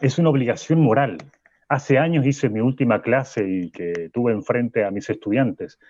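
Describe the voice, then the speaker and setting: A man acts out a weary Chilean professor who stammers and repeats himself. His husky voice comes through slightly dull and muffled. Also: medium pitch, normal speed, a little mellow, close to an everyday voice. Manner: hesitant, stammering, repeats words. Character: shaken male university professor, hesitant and weary. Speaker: guest. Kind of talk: radio drama acting.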